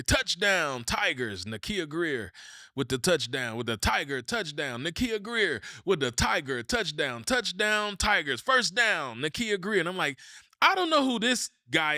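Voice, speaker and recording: A man from Mississippi, hosting a podcast and telling a personal story in an animated way, going low and slow for the serious parts. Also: no echo, studio quality. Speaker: podcast host.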